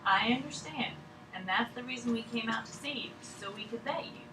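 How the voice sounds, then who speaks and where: A woman's voice: on the high side, a little fast, natural voice. Woman acting persistent polite questioner, echoey room. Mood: patient, persistent. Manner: polite, deferential, insistent. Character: patient, persistent woman official questioning a sick patient. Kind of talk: table read of a drama. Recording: echoey room, distant mic, noisy background.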